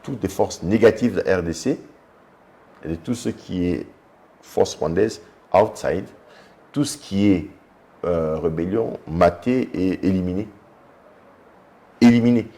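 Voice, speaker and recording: A man, a guest in a televised political debate, arguing in a measured way with many hesitations. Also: low voice, mellow. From Democratic Republic of the Congo. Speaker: guest commentator.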